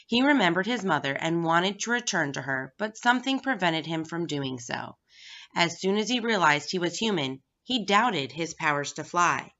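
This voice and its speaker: A woman's voice: on the low side, expressive, moderate pace, fairly bright tone. A woman reading a children's story aloud as scripted narration. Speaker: staff reader at a school. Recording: dull, lo-fi recording.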